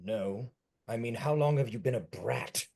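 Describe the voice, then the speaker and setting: Table read: man as tired, sardonic older guy, medium pitch, understated. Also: lively intonation, a little slow, a little mellow. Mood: wry, weary. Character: wry, weary older man, a sore loser.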